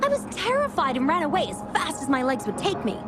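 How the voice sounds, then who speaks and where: A woman playing an earnest, spirited young woman in a video game, talking in an expressive, colloquial way. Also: high-pitched, fast talker, over music. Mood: excited, rattled. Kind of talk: video game character dialogue.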